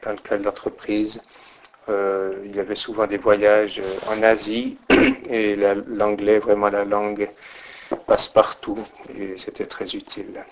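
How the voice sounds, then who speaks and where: A middle-aged man from Portugal, answering interview questions hesitantly and pausing often. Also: on the low side, steady tone, slight background noise, poor audio. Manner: hesitant, many fillers and restarts. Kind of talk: oral history interview.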